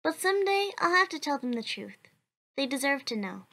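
A woman's voice: high voice, sing-song, a little fast, heavily acted. A woman voicing a cheerful, earnest child who answers questions for a blog in a comic dub. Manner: bright, chatty, earnest.

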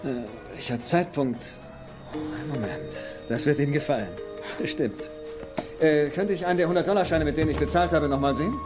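A man's voice: on the high side, fairly quick, natural voice. A man acting an eager, well-read treasure hunter in a German film dub, polite and explanatory. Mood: flustered, eager. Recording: film soundtrack with music behind.

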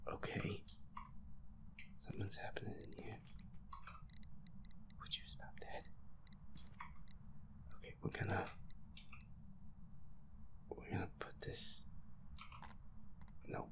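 A man muttering to himself in a breathy, whispery voice as he plays a game, repeating himself and swearing. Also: slow, pauses often. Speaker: gamer playing a horror game. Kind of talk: hushed solo gameplay chatter, thinking aloud.

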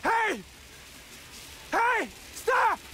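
An American actor playing a frightened, pleading motorist fleeing the undead in a game cutscene. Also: male voice, extremely high pitch, sing-song, drawn-out words, pushed and bright, slight background noise, heavily acted, rough recording. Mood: panicked, pleading. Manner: panicked, pleading. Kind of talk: dramatic game cutscene acting.

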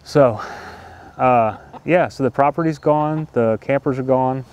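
An older man chatting to camera outside in his garden, rambling along in an easygoing way. His talk is loose and full of ums, uhs and restarts. His pitch sits at an ordinary middle level for a man. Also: unhurried, darkish tone, clear, resonant tone. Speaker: homestead vlogger. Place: outdoor garden.

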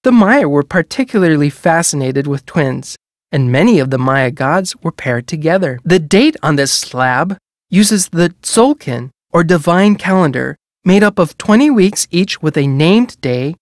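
A woman reading scripted educational museum narration for a video game in a measured, formal, explanatory way. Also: fairly low pitch, animated, no room sound, clean studio sound.

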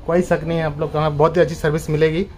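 A man's voice: a little high, little pitch movement, racing, a little mellow, faint music behind. A man hosting a casual vlog, approving and speaking straight to viewers. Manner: approving, addresses viewers directly. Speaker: vlog host. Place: indoor salon. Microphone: behind the handheld camera. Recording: slight background noise.

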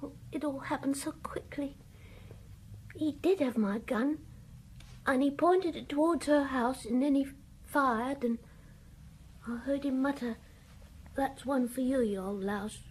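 A woman's voice: high-pitched, measured pace, long pauses, low-quality recording. A woman playing a frightened, hesitant village schoolboy who stammers as he confesses, in a radio drama. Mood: confiding.

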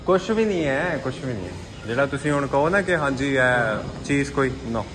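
A man's voice: mid-range voice, expressive, fairly quick. A man from Pakistan with a Pakistani Punjabi accent, casually explaining the sights as a local guide. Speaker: local guide to a visiting guest. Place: historic fort, museum rooms and open grounds. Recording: handheld vlog with crowd noise.

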